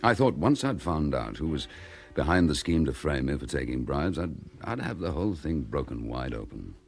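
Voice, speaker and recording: An actor playing a dogged, weary English police chief inspector and protective husband in a radio drama. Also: male voice, rumbling low, big pitch swings, moderate pace, gravelly, breathy edge, exaggerated voice. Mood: weary, frustrated.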